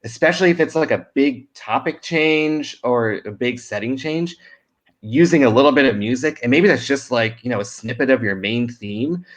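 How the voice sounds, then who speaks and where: A man's voice: mid-range voice, expressive, moderate pace. A man talking casually as a panel guest, in an informal way full of "like" and "you know". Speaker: audio drama creator, panel guest. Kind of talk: casual discussion of craft.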